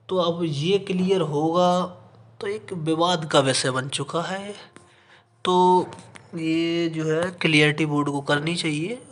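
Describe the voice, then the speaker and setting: A man's voice: fairly high pitch, pushed and bright. A man teaching, reading out an official notice and explaining it, repeating phrases for emphasis and mixing in English terms. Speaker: exam coaching teacher.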